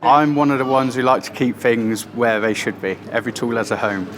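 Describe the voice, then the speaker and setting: A man who shares tools at work, answering interview questions in a plain-spoken, halting way. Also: medium pitch, flat delivery, noisy background.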